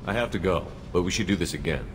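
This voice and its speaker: Man acting a deep, fast-talking military commander. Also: long reverb tail, background noise, lightly acted. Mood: calm, interested. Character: steady, probing male human military commander. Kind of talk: video game character acting.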